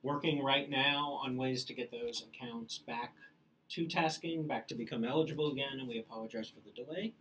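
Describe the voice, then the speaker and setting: A man co-hosting a live-streamed question-and-answer session, talking casually and haltingly with many "uh"s. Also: a little high, lively intonation, gravelly, fairly bright tone, rough recording. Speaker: company staff host answering questions.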